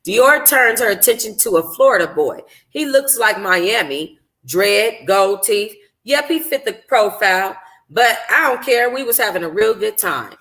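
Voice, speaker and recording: A woman book club host with a bright, forward voice at a middle pitch. She speaks informally and expressively, though she sounds tired and a little hoarse. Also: lively intonation, measured pace. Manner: informal, conversational. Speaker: book club host reading a novel aloud. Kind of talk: expressive audiobook-style reading and casual livestream chat.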